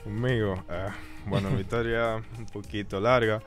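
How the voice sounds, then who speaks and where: A young man in his twenties with a Dominican Spanish accent, calmly explaining his work as a guest in a studio interview. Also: lowish voice, normal speed, soft background music. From Dominican Republic. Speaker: guest, marketing entrepreneur. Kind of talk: casual interview chat. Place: small studio with a patterned wall. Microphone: studio mic on a stand, headphones.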